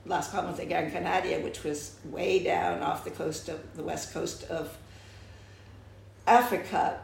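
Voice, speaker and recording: A woman's voice: on the low side, fairly even intonation, unhurried, vocal fry, a little hiss or hum. An older woman from the United States, answering interview questions reflectively and often restarting her sentences. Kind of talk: oral history interview. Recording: echoey room.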